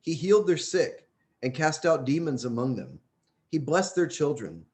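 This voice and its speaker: Animated man reading a religious book aloud. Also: on the high side, normal speed. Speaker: audiobook reader.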